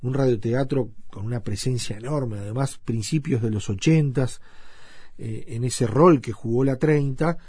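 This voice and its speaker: A male radio host with a Uruguayan accent presents in a reflective way. His voice is mid-pitched, dark and husky, recorded dry. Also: normal speed, no room sound.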